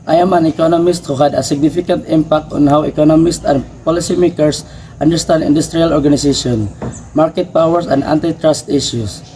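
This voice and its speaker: A man's voice: on the high side, fairly even intonation, fairly quick, dark tone, poor audio. A young man reading out a scripted first-person biography as a student presentation, in a recited manner. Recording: background noise, ambient room sound.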